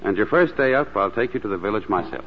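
An actor playing a calm, charming but firm doctor in a 1940s radio drama, measured and gently paternal. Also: male voice, fairly low pitch. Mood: reassuring, authoritative. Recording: dull, noisy vintage 1940s radio broadcast.

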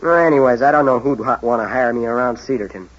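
A man's voice: medium pitch, fast, breathy edge, close to an everyday voice. An actor playing a cocky, evasive seventeen-year-old boy in an old-time radio drama, with a slangy, offhand, defiant delivery. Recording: dull old radio broadcast recording.

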